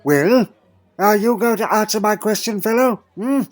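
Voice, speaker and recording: A man's voice: sits high, normal speed, close to an everyday voice. Elderly time traveller, played by a man: doddering, fussy, imperious but fond, hums questioningly; audio drama over music. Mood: imperious, instructive. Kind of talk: fan audio drama acting. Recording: music underneath.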